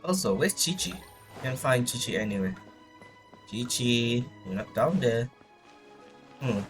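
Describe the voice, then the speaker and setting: A man's voice: medium pitch, sing-song, measured pace, soft background music. A man live streaming a game with casual commentary, muttering reactions to himself as he plays.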